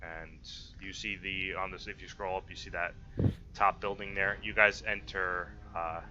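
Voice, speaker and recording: A man with a low, very forceful voice narrates a tabletop game as game master. He explains things informally and haltingly, over a slightly noisy, lo-fi voice chat mic. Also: level tone. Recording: dull lo-fi mic with faint noise.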